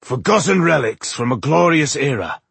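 Man playing a scornful, raspy dwarf sceptic. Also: a little high, very animated, normal speed, a bit bright, dry recording, pitched above the performer's own voice, full character voice. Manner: sneering, dismissive.

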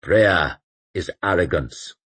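A man voicing a calm, fatalistic cleric who intones his words. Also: low-pitched, husky, exaggerated voice. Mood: cold intoning. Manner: intoning, measured. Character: cold pious male cleric, calm and fatalistic.

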